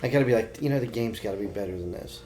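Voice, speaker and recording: A man's voice: on the low side, rapid-fire, dark tone. A man co-hosting a podcast, chatting casually about pop culture in a blunt, opinionated way. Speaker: podcast co-host.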